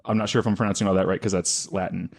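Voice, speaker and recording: A man's voice: deep voice, flat delivery, racing, gravelly, husky. A man hosting a podcast, telling a story casually from notes with wry asides and self-corrections.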